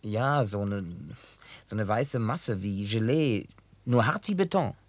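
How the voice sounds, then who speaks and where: A man's voice: on the low side, lively intonation, unhurried. An actor in a German radio play, voicing a blunt, boastful, evasive man who talks colloquially. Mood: eager, friendly.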